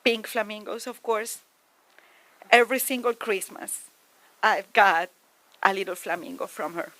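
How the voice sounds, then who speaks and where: A woman's voice: medium pitch, a little slow, bright, forceful tone, low-quality recording. Woman fondly remembering a neighbor. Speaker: neighbor and friend giving a eulogy. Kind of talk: memorial tribute.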